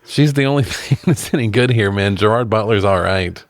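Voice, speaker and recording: A man's voice: fairly low pitch, brisk, dry recording, studio quality. A man co-hosting a podcast in a casual film review chat, laid-back and amused, with occasional swearing. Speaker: podcast co-host.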